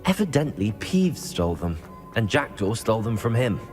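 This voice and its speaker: A man's voice: on the low side, sing-song, moderate pace, music in the background. An actor playing an earnest young male wizard student in a video game, speaking politely and eagerly. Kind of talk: cinematic video game acting.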